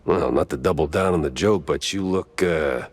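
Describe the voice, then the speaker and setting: A man's voice: very deep voice, normal speed. A man playing a world-weary, wisecracking mouse private detective in a noir cartoon game, with a dry, sardonic delivery.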